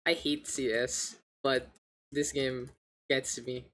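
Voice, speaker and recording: A man with a gravelly voice hosting an esports livestream, mixing casual slangy chat and game commentary. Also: mid-range voice, little pitch movement, takes it slowly, fairly bright tone, slight background noise. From Philippines. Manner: informal, gamer slang, joking asides. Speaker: esports caster, streamer hosting the match. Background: video game sounds and announcer.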